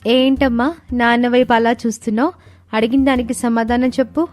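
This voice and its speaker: A pert young child voiced by a woman in a moral story, curious and demanding. The lines come insistently, with quiet music underneath. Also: on the high side, steady tone, fairly quick, dry recording, lightly acted. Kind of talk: dramatised storybook dialogue.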